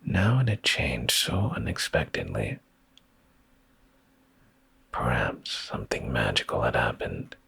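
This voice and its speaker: Very deep, breathy man whispering slowly. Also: huge pitch swings. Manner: soothing, intimate, hushed. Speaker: ASMR streamer reading bedtime stories. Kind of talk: slow whispery ASMR bedtime storytelling.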